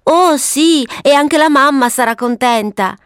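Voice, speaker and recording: Woman acting high-voiced, wonder-filled little boy. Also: measured pace, dry recording, studio quality. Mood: enthusiastic. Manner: breezy, wide-eyed enthusiasm. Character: Papavero, enthusiastic, affectionate little boy full of wonder. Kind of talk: storybook character voice.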